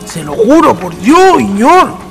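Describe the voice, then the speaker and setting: A man's voice: extremely high pitch, big pitch swings, slow pace, gravelly, a bit bright, soft background music, heavily acted. An actor playing a simple, earnest country man with a rural Chilean accent in a radio drama. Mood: insistent.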